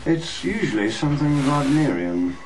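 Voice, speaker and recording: An actor playing a stoical, enigmatic senior servant in a radio drama, measured, dry and portentous. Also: male voice, on the high side, sing-song, background noise.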